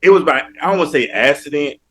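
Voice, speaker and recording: A man's voice: a little high, lively intonation, fast talker, fairly bright tone, dry recording. A man from Alabama with a Southern accent, talking earnestly and emphatically as a podcast guest. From Mobile, Alabama, US. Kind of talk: casual interview talk.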